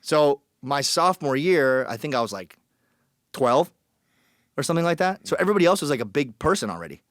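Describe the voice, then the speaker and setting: A man's voice: a little high, very animated, brisk, no echo, studio quality. A man from Colombia telling his story as a podcast guest, blunt and joking, acting out the people he quotes. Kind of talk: casual storytelling.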